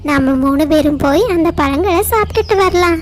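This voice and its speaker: A woman's voice: sits high, normal speed, slight background noise, natural voice. A woman voicing a friendly, eager small forest bird in a children's cartoon story.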